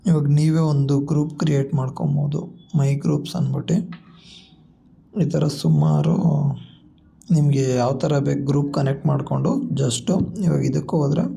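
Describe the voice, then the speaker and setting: A man's voice: fairly high pitch, flat delivery, normal speed, creaky, mellow, slightly rough. A man with a Kannada accent giving a casual step-by-step product walkthrough as a vlogger, explaining and mixing in English tech words. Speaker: vlogger demonstrating a gadget.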